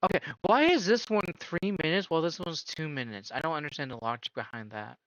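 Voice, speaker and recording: A man's voice: a little high, expressive, fairly quick, fairly bright tone, no echo, rough recording. A man live-streaming a casual reaction, incredulous and firing off repeated questions.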